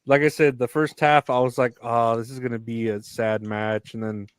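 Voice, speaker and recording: A man's voice: medium pitch, level tone, moderate pace, smooth, no echo. Hesitant man with a dry close sound. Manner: hesitant, restarts, opinionated. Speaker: podcast co-host. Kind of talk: casual wrestling chat.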